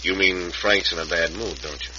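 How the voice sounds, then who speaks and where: A folksy, earnest frontier doctor in an old radio drama. His voice is low and friendly, on a muffled recording with clear noise behind it. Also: fairly even intonation, moderate pace, sharp tone. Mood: friendly, insistent. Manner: folksy, plain-spoken.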